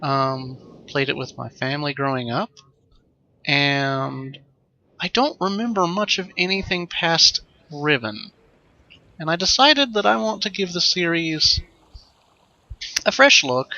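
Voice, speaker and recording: A man hosting a let's play with casual, improvised commentary, rambling and thinking out loud as he goes. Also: on the high side, measured pace, gravelly, pauses often, muffled recording.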